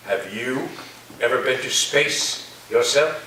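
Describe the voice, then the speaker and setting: A man in a staged play reading, playing an eccentric philosophy professor with a halting, whimsical, theatrical delivery. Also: medium pitch, sharp tone. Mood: suspicious, insistent. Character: eccentric male philosophy professor full of wild conspiracy theories. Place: reverberant room, staged reading before listeners. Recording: distant echoey room recording, faint noise.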